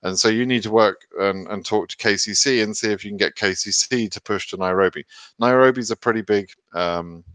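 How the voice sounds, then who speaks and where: A man's voice: fairly low pitch, brisk, fairly bright tone, clean tone, dry recording. A British man hosting a live question and answer stream, reading viewer questions aloud and answering them casually and frankly, with many ums and restarts. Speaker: immigration advice host answering live chat questions.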